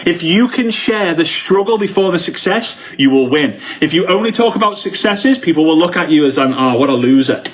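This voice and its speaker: A man with a Liverpool accent giving a motivational online training talk as a coach, excitable and emphatic, repeating phrases for effect. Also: a little high, brisk, few pauses. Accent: Liverpool English. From Liverpool, United Kingdom. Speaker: network marketing coach.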